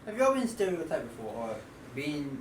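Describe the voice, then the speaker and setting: A man's voice: fairly high pitch, very animated, a little fast, roomy sound, slight background noise. A man co-hosting a casual podcast, teasing and egging his friends on. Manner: teasing, egging others on loudly. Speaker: podcast co-host. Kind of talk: casual chat among friends. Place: indoor room with friends.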